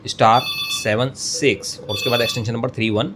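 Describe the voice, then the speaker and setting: A man's voice: mid-range voice, steady tone, brisk. An Indian man presenting a step-by-step technical tutorial, mixing English terms into his speech and often rephrasing as he explains. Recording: clear background noise, room ambience.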